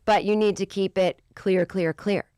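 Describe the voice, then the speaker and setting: A woman in her forties hosting a podcast, with a low voice, ranting in an exasperated, profane way in a casual comedic monologue. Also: fairly even intonation, normal speed, mellow, clean tone, dry recording, studio quality. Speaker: podcast host.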